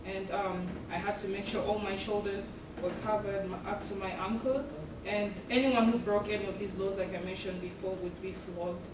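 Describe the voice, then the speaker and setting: A young woman who grew up in East Africa gives a student presentation, earnest and measured, with many ums between her points. She sounds a little far from the mic in an echoey room, with clear noise behind her. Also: medium pitch, steady tone. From South Sudan and Uganda. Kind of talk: presentation talk. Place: event room.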